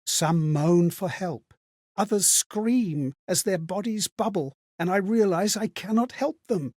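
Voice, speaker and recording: Earnest male wanderer, fairly high-pitched, unhurried, sorrowful, theatrical video game monologue. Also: a little mellow, no room sound, clean studio sound. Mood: haunted, reflective. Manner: earnest, reflective, theatrical storytelling. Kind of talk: dramatic game voice-over monologue.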